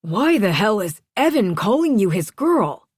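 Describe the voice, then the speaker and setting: A woman narrator puts on an agitated father in a romance audiobook. He is stern, protective and forceful, in a dry, close recording. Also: low-pitched, unhurried, creaky, breathy edge, no room sound, full character voice.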